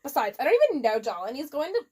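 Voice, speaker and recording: A woman's voice: high voice, sing-song, fast, dark tone, a little hiss or hum. A woman voicing a melodramatic, angsty goth teenage girl who snaps and exclaims in a comic fan fiction reading. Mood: indignant. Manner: overwrought, exclaiming.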